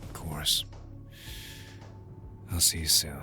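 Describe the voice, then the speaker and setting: A man playing a tender, wistful young lover in an intimate cyberpunk ASMR roleplay, hesitant and gently pleading. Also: extremely low pitch, monotone, slow pace, over music. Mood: resigned, affectionate. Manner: soft-hearted, hesitant, intimate.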